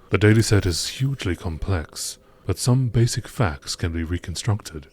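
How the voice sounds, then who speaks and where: A man's voice: low-pitched, darkish tone, a little hiss or hum. A man reading scripted lore text aloud as a narrator, in a nature documentary style.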